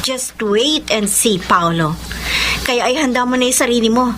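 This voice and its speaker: A woman's voice: a little high, lively intonation, normal speed, background noise, lightly acted. A woman with a Filipino accent playing a scheming, brazen, self-assured ex-girlfriend in a radio drama. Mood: smug, confident. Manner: brazen, confident. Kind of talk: Tagalog radio drama acting.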